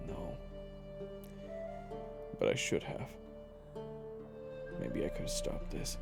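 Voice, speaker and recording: A man's voice: slow, over loud music, natural voice. A man playing a bitter, foul-mouthed investigator weighed down by guilt in an audio drama. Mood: anguished, self-blaming. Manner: swearing, bitter, blunt. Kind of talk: dramatic audio roleplay acting.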